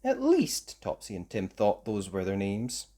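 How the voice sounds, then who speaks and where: A storyteller reading a children's picture book aloud in an expressive, animated way for young listeners. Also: sits low, measured pace. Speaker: children's story reader, host.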